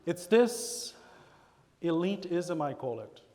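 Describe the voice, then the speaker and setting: A Trinidadian man preaching in a church, his voice sitting high for a man. He is animated and slips into quoted voices of congregation members. The sound carries a long echo from the hall. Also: slow. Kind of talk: sermon. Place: church sanctuary.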